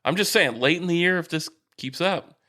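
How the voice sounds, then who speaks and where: Higher-voiced man ribbing his co-host about sports. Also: brisk, pushed and bright. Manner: needling, sarcastic teasing. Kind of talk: casual sports banter.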